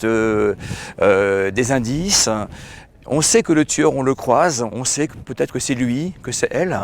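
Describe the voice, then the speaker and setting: Male author, hoarse, halting speech with fillers, outdoor interview, faint background hum. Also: medium pitch. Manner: halting, with many fillers and restarts. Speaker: crime novelist. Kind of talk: casual interview answers. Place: outdoor festival.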